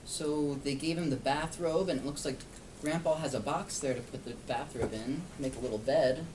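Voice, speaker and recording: A man's voice: mid-range voice, moderate pace. A man reading a picture book aloud to a young child, explaining and asking simple questions as he goes. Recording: distant mic, clear background noise.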